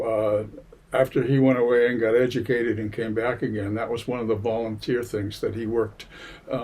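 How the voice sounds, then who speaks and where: Husky-voiced older man recalling memories. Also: fairly low pitch, darkish tone. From Massachusetts, USA. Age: 70s. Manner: unhurried, many hesitations and fillers. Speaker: interviewee. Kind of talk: oral history interview. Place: public library.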